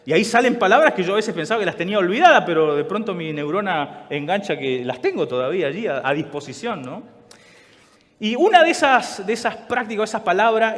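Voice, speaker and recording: A man preaching to a congregation, his voice sitting high and swinging widely in pitch. He speaks with a conversational manner, often checking in with his listeners. The large church hall leaves a long echo trailing after him. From Chile. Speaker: pastor. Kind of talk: sermon.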